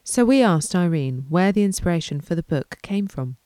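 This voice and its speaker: A woman's voice: lowish voice, expressive, moderate pace, dark tone, dry recording. A woman hosting a podcast, reading scripted links and introductions. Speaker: podcast host.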